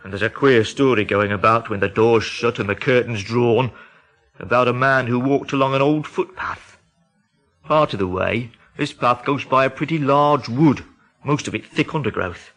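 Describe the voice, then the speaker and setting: A man's voice: medium pitch, moderate pace, a bit bright, lightly acted. An actor playing an alarmed, agitated man from the English Midlands in a radio drama, telling things with dramatic urgency. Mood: hushed dread.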